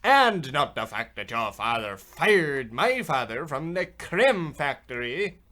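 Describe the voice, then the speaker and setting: Man playing a high-pitched boy bully, swooping delivery. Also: sing-song, slow pace, pushed and bright, full character voice. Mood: taunting. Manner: taunting, over-explaining, stilted. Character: dim, blustering twelve- or thirteen-year-old boy bully. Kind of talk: comedic radio play acting.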